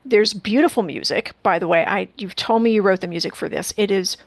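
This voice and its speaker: A woman hosting a casual interview, talking effusively with halting restarts. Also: mid-range voice, no echo. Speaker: interview host.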